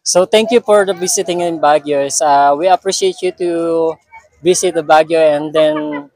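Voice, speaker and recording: A woman with a Filipino accent hosting an informal vlog interview, speaking in halting, repetitive phrases. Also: low-pitched, a little mellow.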